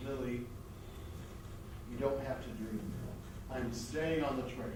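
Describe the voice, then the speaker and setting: A man's voice: medium pitch, takes it slowly, lightly acted. A man playing a star's jealous, clingy lover and agent in stage comedy style, exclamatory and needling. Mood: stubborn. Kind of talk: stage comedy reader's theatre acting. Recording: very echoey stage, distant mic, noisy.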